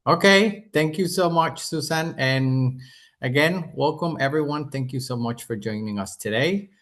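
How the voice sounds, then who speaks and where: A man's voice: fairly high pitch, measured pace, a bit bright. A man from Mexico with a Mexican accent, giving an earnest hotel sales presentation on a video call webinar.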